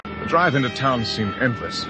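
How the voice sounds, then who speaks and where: An actor playing a guilt-ridden, anxious husband telling his story in a halting, overwrought old-time radio drama style. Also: mid-range voice, expressive, lightly acted. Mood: brooding, troubled. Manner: halting, stammering, overwrought delivery. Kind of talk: old-time radio drama acting, first-person confessional narration. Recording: old radio broadcast with music bed.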